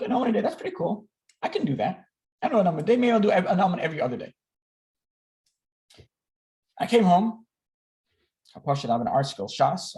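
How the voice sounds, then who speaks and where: Guy on a video call telling his own story, kinda high voice, keeps repeating himself. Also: a little mellow. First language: English. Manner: candid, self-deprecating, repeats words and restarts often. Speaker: speaker at an online learning gathering. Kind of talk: personal talk to a video call group.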